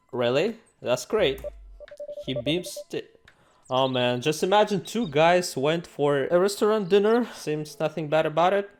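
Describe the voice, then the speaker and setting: A man hosting a game playthrough, reading aloud and reacting in a jokey, theatrical, exclamatory way. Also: fairly high pitch. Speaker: gaming video host. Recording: music behind the voice. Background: game music.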